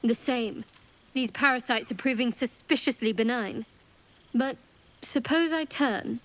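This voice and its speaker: A woman's voice: a little high, measured pace. An actress voicing a guarded, wry female cleric companion in a video game, reserved and thoughtful. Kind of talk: video game cutscene dialogue.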